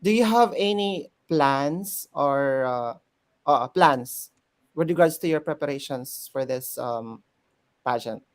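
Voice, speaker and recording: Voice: mid-range voice, lively intonation, takes it slowly. A host, running a live chat interview, switching between English and Tagalog with many fillers.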